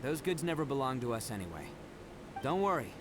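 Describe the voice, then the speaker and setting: Man playing brash, animated young oni gang boss. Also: medium pitch, fairly quick, noisy background, natural voice. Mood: reassuring. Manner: bombastic, stammering when flustered. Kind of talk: video game character acting.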